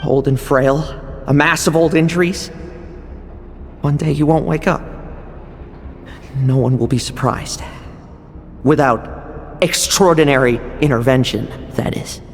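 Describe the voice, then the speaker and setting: An actor playing a sly, composed young man in a video game, with a smooth, condescending and theatrical delivery. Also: on the high side, steady tone, measured pace, pauses often, slight background noise. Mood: coolly knowing. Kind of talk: video game character acting. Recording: long reverb with music behind.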